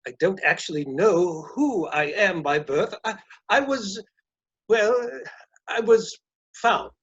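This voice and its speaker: Man acting nervous polite gentleman, stage comedy, high voice, husky edge, hesitant, formal, lo-fi recording. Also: animated, slow pace, exaggerated voice. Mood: flustered, apologetic. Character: polite, flustered gentleman suitor under questioning. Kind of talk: comic stage play reading.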